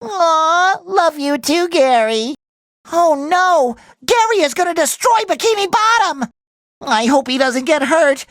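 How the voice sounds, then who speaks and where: A man voicing a cheerful, naive young talking sponge in a cartoon video game, with a squeaky, nasal, exaggerated delivery. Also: slow, hardly any pauses, fairly bright tone, dry recording, heavily acted. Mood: delighted, then alarmed. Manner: exaggerated, earnest, eager delivery.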